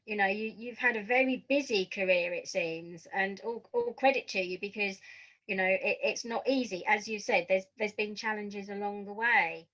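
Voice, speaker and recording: A woman's voice: medium pitch, moderate pace, a bit bright, rough recording. A woman hosting a conversational podcast interview, affirming and encouraging her guest.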